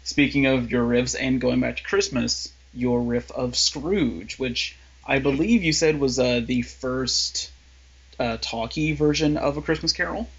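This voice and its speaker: A man co-hosts a casual podcast, speaking in a measured way and steering the talk with questions. His voice is husky and a little breathy. The audio is somewhat dull and lo-fi. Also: mid-range voice. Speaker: podcast host.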